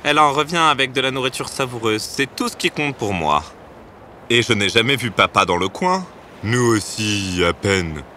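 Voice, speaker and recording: A man's voice: medium pitch, expressive, normal speed, pushed and bright, a little hiss or hum. A man voicing a jealous, indifferent young brother in a fairy-tale cartoon, with a grumbling manner. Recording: music behind the voice.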